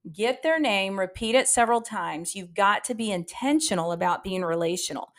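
A woman's voice: medium pitch, animated, normal speed, a bit bright. Emphatic woman hosting a coaching podcast. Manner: emphatic, direct address with rhetorical questions. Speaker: podcast host and practice coach. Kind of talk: coaching podcast talk to listeners.

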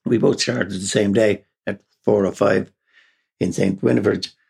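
A man's voice: fairly low pitch, steady tone, unhurried, creaky, darkish tone, breathy edge. A man with an Irish accent, telling personal stories in a rambling way with frequent chuckles and restarts. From Ireland, raised partly in England. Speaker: podcast guest. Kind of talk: casual personal storytelling.

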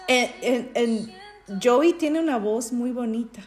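Middle-aged woman from Peru, excitable bilingual podcast co-host, slightly high voice. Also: measured pace, soft background music. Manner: excitable, mixes English and Spanish. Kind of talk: bilingual casual chat.

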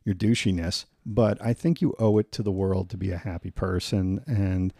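A man's voice: low voice, steady tone, moderate pace, mellow, no echo, studio quality. A man co-hosting a podcast, chatting loosely and agreeably. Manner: laughs often, loose and agreeable. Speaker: podcast co-host. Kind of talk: casual chat.

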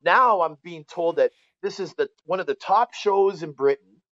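A man in his forties with a Canadian accent, telling stories casually as a podcast guest, colloquial and animated, often checking that the listener follows. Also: sits high, moderate pace, no room sound, muffled recording. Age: 40s. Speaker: former pro hockey player, interview guest.

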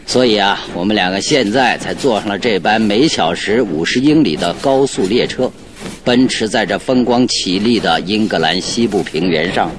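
An actor playing a confident male detective in a radio drama, reasoning out a case with deliberate, theatrical authority. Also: lightly acted. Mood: intrigued explaining. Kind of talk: Mandarin radio drama acting. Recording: noisy old radio drama, train rumble behind.

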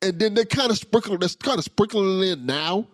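A man's voice: high-pitched, a little fast, no echo, studio quality. A man hosting a casual podcast interview, enthusiastic and prone to stuttered restarts. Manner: enthusiastic, repeats words as he starts sentences. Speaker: podcast host.

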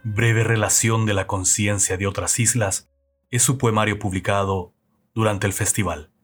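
A man reading a scripted introduction in a slow, measured, solemn way. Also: lowish voice, fairly even intonation. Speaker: narrator introducing the episode. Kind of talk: scripted narration over music. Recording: narration over loud music bed.

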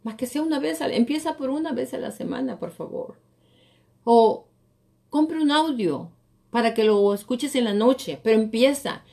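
A woman's voice: a little high, normal speed, creaky, warm tone. A middle-aged woman, giving an emphatic motivational talk as a coach on a live show, often repeating phrases. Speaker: real estate coach and live show host.

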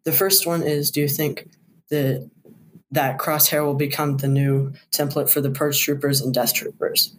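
A man's voice: on the high side, little pitch movement, normal speed, poor audio. A man leaving a listener voice message, setting out prepared questions in order. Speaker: listener calling in. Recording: voice message with faint background noise.

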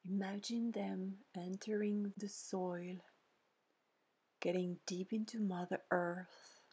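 A woman leading a guided meditation in a soft, breathy, near-whispering voice, gently soothing, with pauses between short phrases. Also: mid-range voice, level tone. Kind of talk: guided meditation, scripted narration.

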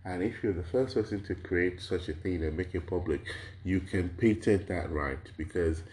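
A man from Nigeria giving an explanatory lecture, his voice a little hoarse from a cold, asking rhetorical questions and stringing examples into lists. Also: deep voice, moderate pace, a little mellow, slight background noise. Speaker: lecturer on intellectual property.

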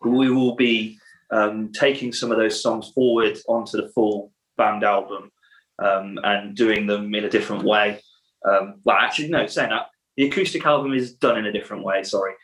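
A man's voice: lowish voice, steady tone, normal speed, a bit bright, low-quality recording. A man from a band, chatting in a podcast interview, rambling and correcting himself with many ums. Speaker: band member.

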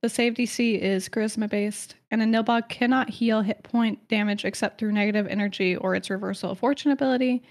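A woman co-hosting a casual podcast, chatty and quick to laugh, telling stories with dramatic flair. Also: mid-range voice, steady tone. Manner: chatty, giggly, dramatic storytelling. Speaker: podcast co-host presenting lore research.

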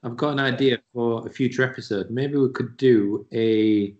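A man's voice: medium pitch, fairly even intonation, moderate pace, darkish tone. Easygoing Yorkshire man, dull sound. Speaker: podcast co-host. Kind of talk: casual chat.

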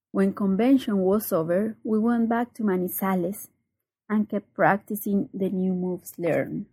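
A Colombian woman, a native Spanish speaker, giving a prepared personal narration with measured, careful phrasing and a Colombian accent. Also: medium pitch, dark tone, husky, no room sound, rough recording. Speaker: circus teacher and performer.

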